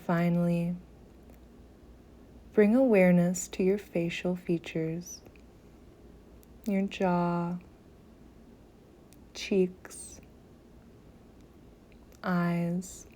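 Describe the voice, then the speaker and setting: A woman guiding a meditation in a soothing, gentle manner, softly breathy at phrase ends, with long pauses between short phrases. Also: on the low side, level tone, slight background noise. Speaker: yoga nidra meditation guide. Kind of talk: slow guided meditation.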